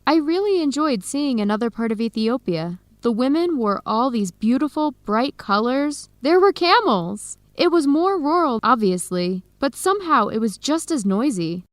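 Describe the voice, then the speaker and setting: A woman playing an earnest young woman in an educational radio drama, speaking expressively and clearly. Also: high voice, very animated, normal speed, few pauses, no room sound. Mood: pleased, impressed. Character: Helen, earnest young woman searching for her birth family. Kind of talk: acted radio drama dialogue for English learners.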